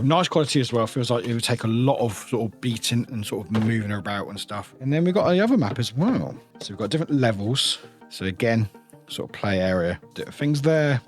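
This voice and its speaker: Man, lively intonation, casual product reviewer, informal and appreciative, loose phrasing, faint music behind. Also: on the low side. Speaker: product reviewer, unboxing host. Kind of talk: casual show-and-tell commentary, sometimes reading blurbs aloud.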